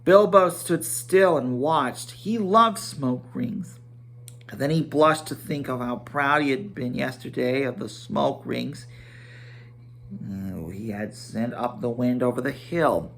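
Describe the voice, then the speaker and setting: A man giving a dramatic storybook reading, halting at times and correcting himself as he narrates. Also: mid-range voice, vocal fry. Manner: halting, stumbling over words and correcting himself.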